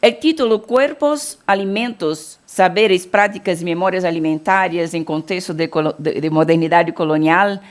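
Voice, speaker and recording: A woman's voice: lowish voice, normal speed, hardly any pauses. A Brazilian woman from Bahia giving an academic keynote lecture, blending Portuguese and Spanish with frequent self-corrections and restarts. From Salvador, Bahia, Brazil.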